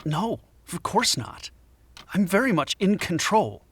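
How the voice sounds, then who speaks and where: A man acting a troubled middle-aged anthropology professor in an audio drama, guarded and halting. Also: sits high, very animated, husky, dry recording, heavily acted. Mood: defensive. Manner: hesitant, halting, defensive. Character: troubled forty-year-old male anthropologist professor, guarded, haunted.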